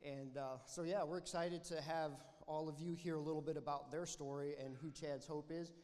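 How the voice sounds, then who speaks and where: A man hosting a church service, giving a welcome and a prayer in a halting way with many fillers. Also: fairly high pitch, steady tone, darkish tone. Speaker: church host leading a service. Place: church sanctuary. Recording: long reverb of a church hall.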